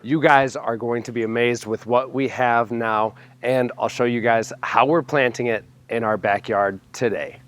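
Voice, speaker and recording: A young man hosting a homestead vlog, hoarse from a cold, explaining his backyard planting step by step with enthusiasm. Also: medium pitch, steady tone, normal speed, hardly any pauses, fairly bright tone. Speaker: homestead channel host. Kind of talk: casual gardening vlog. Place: backyard, outdoors.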